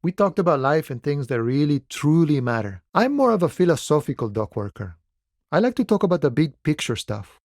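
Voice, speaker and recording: An actor playing an easygoing, philosophical union dockworker in a video game, talking in a relaxed, wry and familiar way. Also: male voice, a little high, a little fast, no echo, studio quality. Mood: friendly, reflective. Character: laid-back philosophical union dockworker, easygoing, wryly friendly man. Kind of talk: video game dialogue voice acting.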